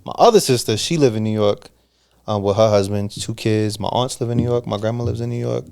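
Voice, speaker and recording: A man's voice: fairly low pitch, vocal fry, a little mellow, clear, resonant tone. A man in his thirties, from Pennsylvania, talking as a podcast guest in a casual, slangy storytelling way. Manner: fast, slangy storytelling with frequent restarts. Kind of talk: casual conversation.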